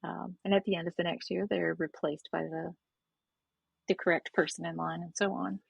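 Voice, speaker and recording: A woman guest on a casual podcast interview, speaking with a hoarse, froggy voice and a gravelly growl, explaining at length and often restarting phrases. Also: fairly low pitch, little pitch movement, moderate pace, dry recording, dull audio. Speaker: author guest.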